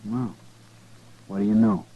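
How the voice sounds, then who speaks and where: A man's voice: on the low side, fairly even intonation, a little slow, slightly rough. A man acting a resentful, surly young farm son in a 1950s radio western, with a defiant rustic manner. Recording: dull, noisy vintage radio recording.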